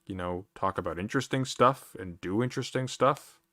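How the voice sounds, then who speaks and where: A man's voice: lowish voice, fairly quick, fairly bright tone, dry recording. A man in his thirties debating online, dry and dismissive, repeating himself when cut off. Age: 30s. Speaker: streamer in a debate.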